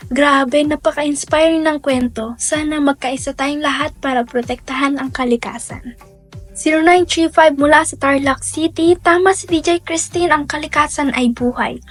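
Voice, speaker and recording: A woman presenting as a radio DJ in a scripted hosting style. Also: high voice. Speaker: radio DJ and host. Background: music.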